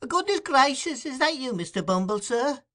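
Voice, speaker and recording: A man voicing a fawning, scheming woman who runs an orphans' home, gushing and wheedling in a high put-on voice. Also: sing-song, normal speed, pushed and bright, full character voice. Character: fawning scheming middle-aged woman nurse.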